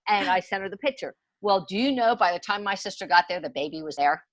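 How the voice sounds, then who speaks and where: A woman's voice: medium pitch, lively intonation, fast, no room sound. A woman in her sixties telling personal stories in a chatty, emphatic, conversational way as a podcast guest. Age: 60s. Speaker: podcast guest, homeopathy enthusiast.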